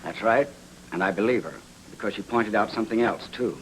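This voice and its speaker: An actor playing a gruff, self-made wealthy client in a film noir, blunt and commanding. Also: male voice, fairly low pitch, fairly quick, slight background noise, natural voice, low-quality recording. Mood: earnest, reflective. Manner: blunt, plain-spoken, commanding. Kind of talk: film noir screen acting.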